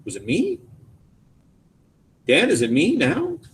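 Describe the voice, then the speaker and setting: A man's voice: fairly high pitch, wildly swooping pitch, takes it slowly, a little hiss or hum, pitched above the performer's own voice, heavily acted. A man voicing a troubled, wounded young son in audiobook dialogue, speaking in an emphatic, pleading way. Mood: pained.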